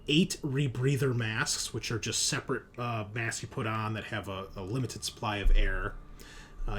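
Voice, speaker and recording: A man improvising scene narration as game master of a tabletop roleplaying game, with halting, hesitant pauses. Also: mid-range voice, a bit bright. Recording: noticeable background noise.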